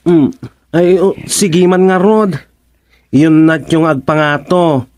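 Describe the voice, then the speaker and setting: A man with an Ilocano accent playing a bossy, unhurried masseur in a radio comedy drama, giving instructions with drawn-out interjections. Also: a little high, darkish tone. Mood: instructing, brisk concern. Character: bossy, unhurried masseur ordering a patient about.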